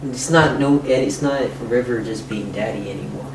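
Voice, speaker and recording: A man's voice: mid-range voice, moderate pace, creaky. A man hosting a casual interview, joking in slangy, sometimes profane talk. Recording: roomy, distant mic, faint noise.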